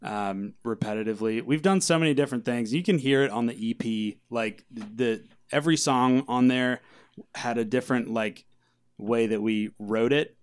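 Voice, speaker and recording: A man's voice: medium pitch, normal speed, clean tone. A man talking casually as a musician in a band interview. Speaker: band member being interviewed. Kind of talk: casual chat.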